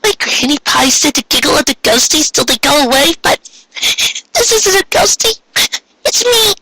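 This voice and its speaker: A man voicing a distraught young female cartoon pony, tearful and childlike, pleading in a squeaky voice and repeating herself. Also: big pitch swings, normal speed, bright, forceful tone, slight background noise, exaggerated voice, rough recording. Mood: tearful, homesick. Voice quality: shrill, squeaky. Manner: pleading, repetitive, wailing.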